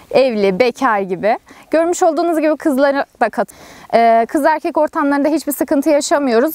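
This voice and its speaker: High-voiced young woman. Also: no room sound. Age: young adult. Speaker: camping group member. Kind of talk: casual interview to camera. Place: outdoors at a campsite.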